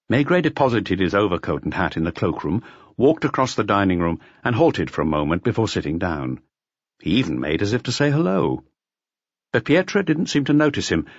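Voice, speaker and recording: A man's voice: lowish voice, no echo, muffled recording. A man giving scripted narration of a detective novel as an audiobook reader.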